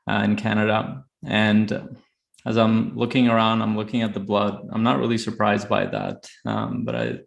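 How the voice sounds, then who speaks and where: A man from Afghanistan telling a personal story reflectively as a guest, speaking haltingly with many filled pauses. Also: fairly low pitch, gravelly. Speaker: podcast guest, high-performance coach. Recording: roomy, echoey sound.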